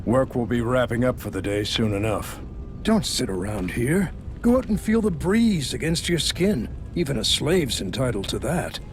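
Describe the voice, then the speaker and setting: An actor playing a gruff, caring, world-weary doctor in a video game, measured and gently scolding. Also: male voice, mid-range voice, sing-song, soft background music, close to an everyday voice. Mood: calm advising. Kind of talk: video game cutscene voice acting.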